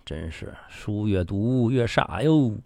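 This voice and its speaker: A man's voice: on the low side, lively intonation, slow pace, gravelly, darkish tone, dry recording, full character voice. Man acting a bullying boss, wildly swinging voice. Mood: scornful, dictating. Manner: domineering, swearing, condescending, badgering with questions. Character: bullying, cynical male media boss chasing clicks. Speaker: boss in a satirical radio drama. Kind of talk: radio drama acting.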